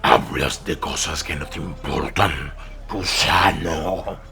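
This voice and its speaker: A man voicing a savage, menacing warrior of a blood god in an audio drama, with a growling gravelly voice. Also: rumbling low, pushed and bright, faint music behind, voice pushed down, full character voice. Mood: scornful, hostile. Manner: menacing, slow, barking challenges. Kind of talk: audio drama villain acting.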